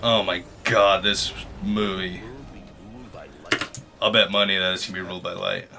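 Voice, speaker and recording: A man's voice: sits low, level tone, normal speed, creaky, background noise. A man giving casual, slangy reaction commentary as an online video host, exclaiming and mocking as he goes. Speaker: YouTube host reacting to movie trailers. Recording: music playing behind the voice.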